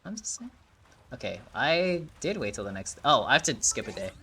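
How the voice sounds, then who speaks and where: A man's voice: medium pitch, big pitch swings, normal speed, bright, forceful tone, slight background noise. A man giving rambling, casual commentary while playing a story game. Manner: rambling, chatty, swears casually. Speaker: game streamer.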